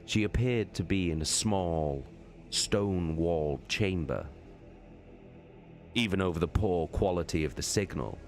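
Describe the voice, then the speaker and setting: A man giving a dramatic, theatrical audiobook narration in a measured way. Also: low voice. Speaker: narrator, live reader.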